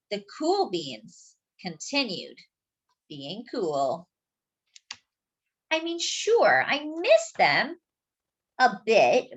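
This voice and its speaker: A woman reading a children's picture book aloud, pausing to point out details in the pictures. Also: high voice, a bit bright, lo-fi sound. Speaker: children's storyteller.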